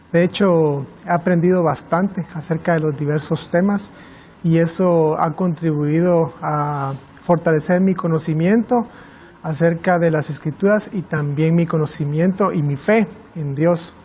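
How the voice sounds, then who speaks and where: A man's voice: a little high, a little mellow. A man with a Guatemalan accent introducing himself as a guest participant on a panel. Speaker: guest participant, environmental engineer.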